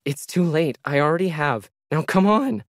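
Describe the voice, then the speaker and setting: Man voicing an urgent, determined woman worker, pitched up. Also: normal speed, no echo, clean studio sound, natural voice. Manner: urgent, insistent.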